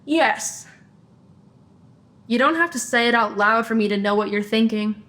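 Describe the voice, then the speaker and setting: Young female actor as a sharp, take-charge supernatural investigator; high, bright voice, deadpan teasing, comedy audio drama. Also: a little slow. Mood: firm, teasing. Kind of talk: audio drama acting, naturalistic comedic banter.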